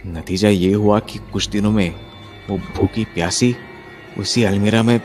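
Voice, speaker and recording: A man with a deep voice narrates a horror tale as if he lived it. He builds suspense with drawn-out, dramatic pauses. Music plays behind him. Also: fairly even intonation. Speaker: narrator of a horror story, voicing its main character. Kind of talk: dramatic first-person horror storytelling.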